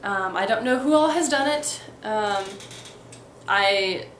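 A woman's voice: medium pitch, animated, slow pace, a bit bright. Young woman, light Midwestern accent, rambling vlog chat, webcam in a roomy bedroom, faint ambient hiss. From Texas and Missouri, US. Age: young adult. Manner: chatty, many ums and restarts. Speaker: vlogger. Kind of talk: casual accent tag vlog. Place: bedroom, indoors.

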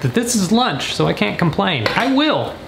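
Deadpan young man, widely swinging pitch. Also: normal speed, vocal fry. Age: 20s. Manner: deadpan, joking asides. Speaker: vlogger. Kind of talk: comedic cooking vlog chat. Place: indoors, small apartment kitchen. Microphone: camera mic at a short distance. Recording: roomy kitchen echo, background noise.

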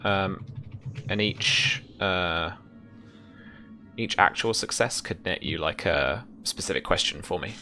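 A young man casually narrating as game master and explaining rules, in a hesitant, halting way, on a video call. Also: sits low, pushed and bright, soft background music. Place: indoor room at home, over video call. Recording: faint background noise.

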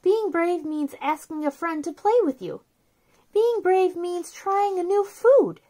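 A woman in her thirties speaking to young children as a story time host, with an expressive, simple delivery. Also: very high-pitched, a little slow. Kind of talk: children's read-aloud and chat to young viewers.

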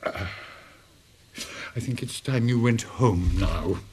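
A man's voice: medium pitch, slow pace, a little mellow, a little hiss or hum, close to an everyday voice. A man acting in a radio drama as an elderly, weary schoolmaster, speaking formally and hesitantly with dry irony. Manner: formal, hesitant, drily ironic. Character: elderly weary schoolmaster, courteous and drily ironic.

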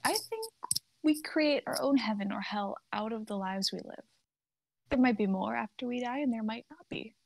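A woman playing a gentle, thoughtful mother of thirty-one in a dramatic script reading. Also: fairly high pitch, lively intonation, moderate pace, gravelly, no echo, low-quality recording. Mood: thoughtful, tender.

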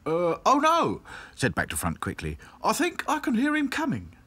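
A man's voice: high voice, wildly swooping pitch, moderate pace, pushed and bright, slightly rough, pitched above the performer's own voice, heavily acted. A man doing a panicky handyman doll. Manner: flustered and pleading.

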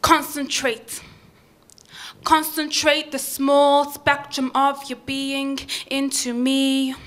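High, bright young woman performing poetry. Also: level tone, slow. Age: young adult. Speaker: spoken word poet. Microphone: handheld stage microphone.

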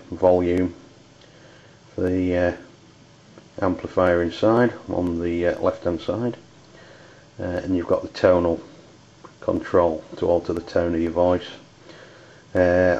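A man with an English accent giving an unscripted product review in a hesitant, halting way. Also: sits low, vocal fry, darkish tone. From United Kingdom. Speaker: amateur radio reviewer. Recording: dull lo-fi audio with background noise.

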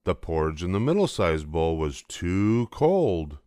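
A man's voice: sits low, very animated, unhurried, dark tone, dry recording, clean studio sound. An older man reading a children's storybook aloud as scripted narration. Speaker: storybook reader.

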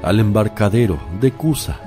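An actor playing a gentle, calm male teacher in a religious radio drama, measured, solemn and reassuring. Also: lowish voice, soft background music, close to an everyday voice. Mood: calm, firm.

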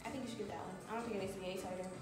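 A young woman chatting casually with a friend, speaking quietly and softly from off-mic. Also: mid-range voice, fairly even intonation, racing, roomy sound, music in the background. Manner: soft-spoken, quiet comments. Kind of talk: casual chat.

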